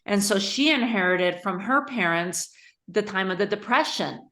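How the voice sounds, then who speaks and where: A woman from Spain, a guest on a podcast, answering thoughtfully with pauses and self-corrections. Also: medium pitch, animated, fairly bright tone. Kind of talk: podcast interview.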